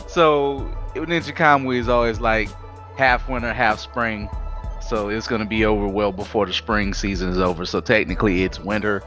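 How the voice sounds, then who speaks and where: A man's voice: on the low side, normal speed, faint music behind. Talkative man leading a podcast chat. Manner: talkative, animated, rambling asides. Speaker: podcast host. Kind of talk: casual chat.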